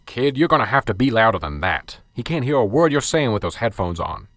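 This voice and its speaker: A man's voice: medium pitch, sing-song, fairly quick, fairly bright tone, no echo. A man playing a dry, no-nonsense sergeant. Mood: matter-of-fact. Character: no-nonsense adult male police sergeant. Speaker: police sergeant. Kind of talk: amateur radio drama acting. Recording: radio drama with music underneath.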